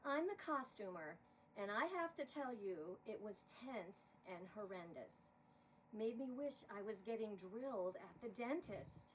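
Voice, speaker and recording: A woman narrating a rhyming story in an expressive, theatrical style. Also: medium pitch, a little slow. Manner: dramatic, expressive. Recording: distant mic with faint noise.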